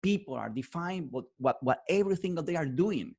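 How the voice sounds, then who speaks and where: Husky, eager interviewer with English. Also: male voice, fairly high pitch, animated, fairly quick, a little mellow. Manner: enthusiastic, non-native English phrasing, repeats words. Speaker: interviewer, host. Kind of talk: interview host chat.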